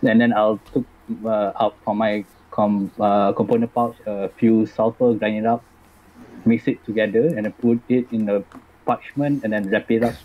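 A man player slowly describing his character's actions step by step. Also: on the low side, steady tone, narrow, tinny audio.

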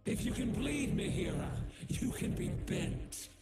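A woman voicing a creepy, domineering demonic blood weaver in a game, with drawn-out menace. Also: a little high, very animated. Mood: menacing. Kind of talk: game character voice acting. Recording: loud music behind.

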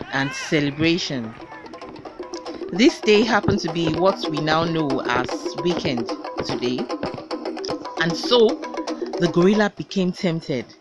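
A Nigerian-accented woman narrating a folktale in a deliberate, emphatic storytelling manner. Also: lowish voice. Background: background music.

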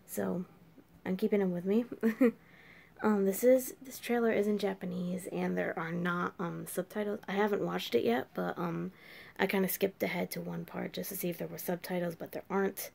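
A woman's voice: on the low side, steady tone, moderate pace, vocal fry, darkish tone. An eighteen-year-old woman with a scratchy, sore throat, chatting casually as a reaction video host from a small room late at night. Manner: puzzled, exclaiming questions. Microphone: webcam at desk.

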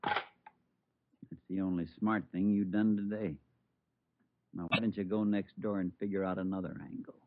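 A desperate bomber holding police at bay in an old radio crime drama. His low voice is menacing and sneering, snapping out orders. The recording is narrow and phone-like. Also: flat delivery, unhurried, pauses often, a little hiss or hum. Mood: menacing, impatient. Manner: barking orders, threatening, sneering.

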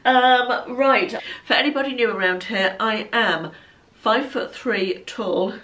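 A woman's voice: fairly high pitch, slow, fairly bright tone. Bunged-up woman in her fifties. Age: 50s. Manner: chatty, rambling, self-deprecating. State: congested from hay fever. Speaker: fashion vlogger. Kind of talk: casual chat. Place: bright living room at home. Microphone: camera across the room. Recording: voice a bit far from mic.

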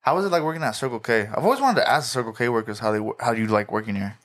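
A man's voice: mid-range voice, lively intonation, a bit bright. Chatty man in his late twenties. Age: late 20s. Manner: chatty, quick questions, laughs often. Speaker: podcast co-host. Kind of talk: casual chat.

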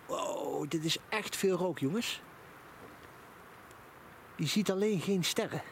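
Guy vlogging outside in the woods, high voice, really into it, noisy camera audio. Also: takes it slowly, vocal fry. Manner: enthusiastic. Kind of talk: casual outdoor vlog commentary. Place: outdoors in a forest at night by a campfire.

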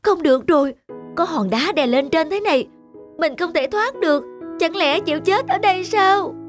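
Small clever rabbit, very high voice, anxious exclamations, cartoon fable, music behind. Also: female voice, lively intonation, normal speed. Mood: worried. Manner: exclamatory, fretful. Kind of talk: animated folk-tale acting.

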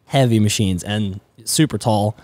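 A man in his twenties from the Netherlands, a native Dutch speaker, chatting casually and haltingly as a podcast guest. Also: on the low side, a little mellow, dry recording. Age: 20s. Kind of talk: casual conversational storytelling. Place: indoors, garage-like studio. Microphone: close podcast mic on boom arm.

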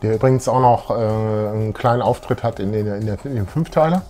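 A middle-aged man co-hosting a casual, nostalgic fan chat, animated and often repeating his phrases. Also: on the low side, a little fast, faint music behind. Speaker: video show co-host. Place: small room full of collectibles, indoors.

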